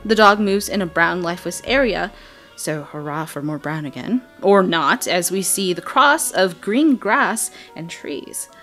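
A woman giving casual, expressive voice-over commentary on a video game, chatty and full of wry asides. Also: on the low side, a little slow. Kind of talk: casual trailer breakdown commentary. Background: music.

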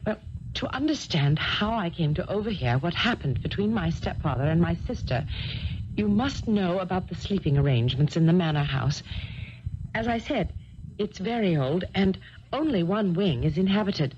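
An actress playing an uneasy young heiress recounting a dreadful night in a 1970s radio drama. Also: female voice, on the low side, expressive, moderate pace, a little mellow, natural voice. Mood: grave, uneasy. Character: uneasy young English heiress recounting her sister's death. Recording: dull, noisy archived radio broadcast.

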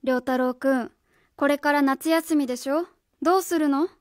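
A woman's voice: sits high, moderate pace, dry recording, studio quality, natural voice. A woman playing a stern, earnest young schoolteacher in a radio drama, formal, polite and probing. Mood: gently probing. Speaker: voice actor.

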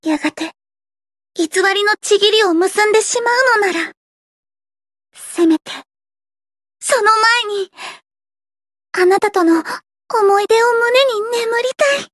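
A woman's voice: very high-pitched, slow pace, long pauses, a bit bright, no echo, studio quality, heavily acted. A woman voicing a theatrical gothic teenage idol girl from an anime game, with grandiose, dramatic delivery and a shy, sweet side. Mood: earnest, tender. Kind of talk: anime game character voice lines.

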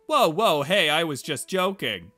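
Man as sly teenage schoolgirl, flippant, evasive, swooping pitch, breezy visual novel dialogue, music behind. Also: sing-song, unhurried, bright, forceful tone, no echo, exaggerated voice. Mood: defensive. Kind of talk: visual novel character read aloud. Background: game music.

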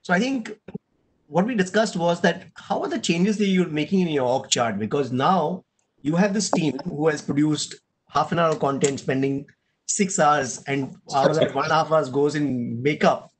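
A middle-aged man moderating a panel on a video call, animated and often adding "right?". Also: fairly high pitch, normal speed, a little hiss or hum, rough recording. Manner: animated, gesturing, tag question "right?". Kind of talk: casual panel discussion. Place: room at home with blinds and shelves. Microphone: video call webcam.

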